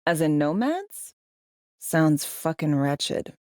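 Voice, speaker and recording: Low-voiced, dry female secret agent. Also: very animated, slow pace, darkish tone, no echo, full character voice. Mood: weary, confiding. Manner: clipped phrasing, dry, casually profane. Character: brisk, sardonic, foul-mouthed veteran female secret agent. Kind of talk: video game dialogue, natural conversational acting.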